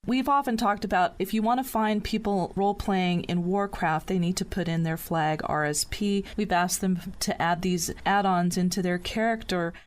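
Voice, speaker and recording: A woman's voice: on the low side, steady tone, moderate pace, few pauses. A woman hosting a panel podcast, putting questions to guests in a conversational style. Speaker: podcast host.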